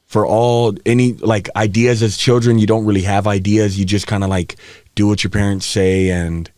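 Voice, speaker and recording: A man around thirty who grew up in Hawaii, a podcast guest telling stories from his life in a relaxed way, laughing often and getting animated as the story builds. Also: lowish voice, moderate pace, no room sound, clean studio sound. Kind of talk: candid storytelling interview. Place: podcast studio. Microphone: studio mic.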